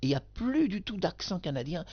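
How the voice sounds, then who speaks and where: A man's voice: sits high, big pitch swings, fairly quick, breathy edge, lo-fi sound. A man giving rambling spoken commentary, emphatic and repetitive, talking straight to the singer he discusses. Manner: emphatic, addresses the singer directly, repeats himself. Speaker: video commentator.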